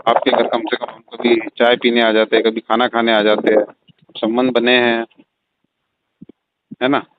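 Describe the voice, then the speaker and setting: Over a tinny phone line comes a middle-aged landlord played by a man, mid-pitched and insinuating. He circles his point with hesitant repetitions in a phone-call drama. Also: level tone, moderate pace, background noise, lightly acted. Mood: coaxing, suggestive. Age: 40s.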